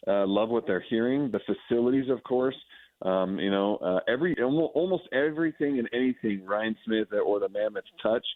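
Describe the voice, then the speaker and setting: A man's voice: fairly low pitch, a little fast, dry recording. An American man, a sports anchor as a radio guest, giving effusive conversational analysis over a phone-like line, often rephrasing as he goes.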